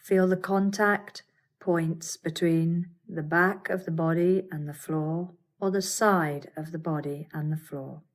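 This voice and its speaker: A woman teaching yoga nidra, guiding a meditation in a calm, soothing, deliberate way with pauses. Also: on the low side. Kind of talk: guided meditation.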